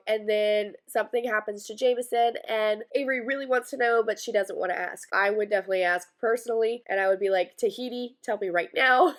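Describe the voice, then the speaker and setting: Bubbly young woman with a stuffy cold. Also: a little high. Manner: bubbly, chatty, gushing with fillers like "like". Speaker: book reviewer. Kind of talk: casual book review vlog.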